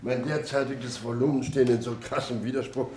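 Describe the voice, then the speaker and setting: An actor playing a stout, self-mocking middle-aged company director in a German radio play, pompously formal. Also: male voice, mid-range voice, level tone, brisk, roomy sound, crowd noise, close to an everyday voice. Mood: apologetic, pompous.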